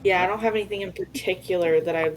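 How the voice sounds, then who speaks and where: A woman's voice: on the low side, fairly even intonation, fast talker, poor audio. A woman chatting casually as a player in a tabletop game. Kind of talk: casual chat.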